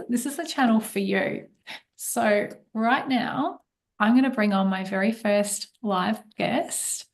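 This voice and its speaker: A woman hosting a live online interview as a money coach, talking excitedly with plenty of fillers over a video call. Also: mid-range voice. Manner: enthusiastic, warm welcoming patter with fillers.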